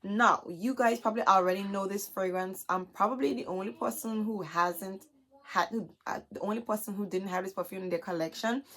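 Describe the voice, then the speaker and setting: A young adult woman with a hoarse, scratchy, chesty voice, chatting casually to camera as a vlogger and filling her talk with "you guys". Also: mid-range voice, fairly quick, fairly bright tone. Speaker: beauty vlogger. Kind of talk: casual haul chat to camera.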